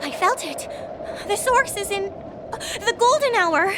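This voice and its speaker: Eager high-voiced young scholar. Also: female voice, lively intonation, unhurried, very noisy. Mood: determined. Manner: enthusiastic, formal, expository. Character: earnest, eager young female scholar devoted to her idol. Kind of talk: video game voice acting.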